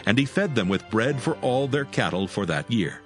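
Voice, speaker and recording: A man's voice: medium pitch, expressive, moderate pace, fairly bright tone. A man narrating a dramatized scripture story from a script. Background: faint music.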